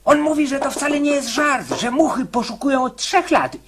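A performer playing an anxious, deferential male convict in a radio drama, pleading and fretful, with a husky voice. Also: high-pitched, animated, normal speed, noisy background. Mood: eager, puzzled.

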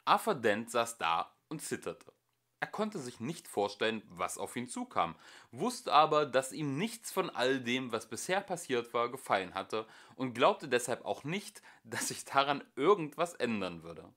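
A young man narrates a novel at an unhurried pace with a bright, animated voice. His reading is expressive and clearly amateur. It is recorded at home. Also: mid-range voice. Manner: slow and expressive. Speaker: audiobook reader.

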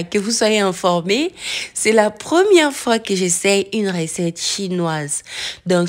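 Chatty woman giving a kitchen tour. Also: mid-range voice. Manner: chatty, slow, with many "euh" pauses and English food words. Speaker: home cooking vlogger. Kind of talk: casual home tour and cooking narration. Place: home kitchen.

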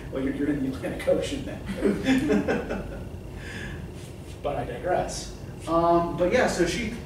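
A middle-aged man teaching literature to a class in conversational lecture style, often checking in with "right?" and asking students questions. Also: on the high side. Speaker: literature professor. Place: classroom with whiteboard. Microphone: far camera at the back of the room. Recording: echoey classroom from far off, noisy.

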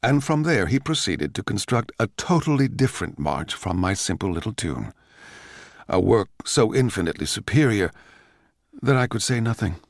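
A man playing a bitter, envious male court composer, narrating in a measured, theatrical, sardonic way for radio drama. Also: fairly low pitch, very animated, vocal fry, dry recording, clean studio sound. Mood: bitter, awed.

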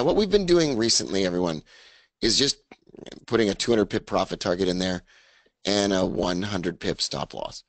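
A man with a raspy voice hosting a casual trading webinar, upbeat as he talks through his charts. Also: fairly low pitch, no room sound. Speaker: webinar host. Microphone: studio mic, screen share.

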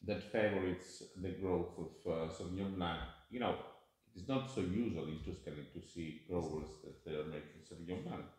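A middle-aged man with an Italian accent puts leading questions in careful, non-native English. His voice sits low for a man. It echoes heavily around a bare indoor room and is caught by a distant camera. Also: normal speed, a little mellow. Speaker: interviewer. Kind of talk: interview questions. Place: bright tasting room, indoors.

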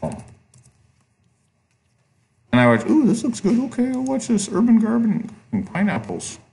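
A man's voice: high voice, huge pitch swings, a little slow, gravelly, darkish tone. A man giving a recorded college lecture, talking casually and thinking aloud as he clicks through examples on screen.

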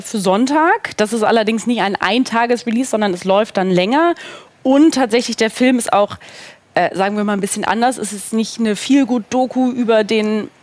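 A woman in her thirties speaking as a talk show guest, informal and casual, dropping in English phrases. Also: fairly high pitch. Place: indoor talk studio with seated group. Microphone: headset.

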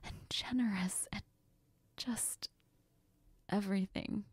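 A woman playing a heartbroken, self-doubting young best friend in an intimate audio role-play, tearful and sniffling, speaking haltingly in a near whisper. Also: medium pitch, steady tone, slightly rough, dry recording. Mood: tearful, affectionate.

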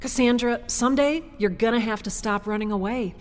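An actress playing a steady, encouraging and determined woman in an audio drama, with a wry, coaxing manner. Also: fairly high pitch, a little fast, soft background music, close to an everyday voice. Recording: long reverb.

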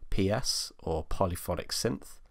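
A man in his twenties with a low-pitched voice. He hosts an instructional screencast, explaining each step in order as a tutor would for beginners. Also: lively intonation, unhurried, vocal fry. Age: 20s. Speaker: music production tutorial host.

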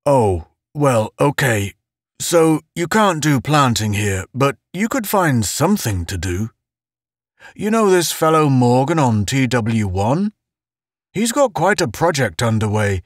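A man's voice: medium pitch, big pitch swings, a little slow, no room sound, studio quality, pitched above the performer's own voice. A man voicing an earnest, insistent, well-meaning space officer giving encouragement in an audiobook. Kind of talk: audiobook character voice.

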